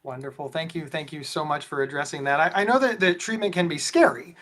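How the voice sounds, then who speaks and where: A man with a big booming voice hosts a live online discussion. He rambles and repeats words as he works toward his questions. His voice sits a little higher than most men's. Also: brisk, fairly bright tone. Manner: rambling with frequent restarts. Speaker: host. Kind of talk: live webinar moderating.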